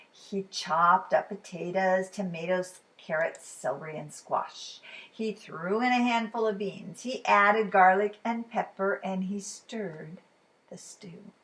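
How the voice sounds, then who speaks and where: A woman reading a picture book aloud to children in a dramatic, expressive way, at times dropping to a hush. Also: on the low side, slow, low-quality recording. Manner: dramatic, dropping to hushed whispers. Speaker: children's storytime reader.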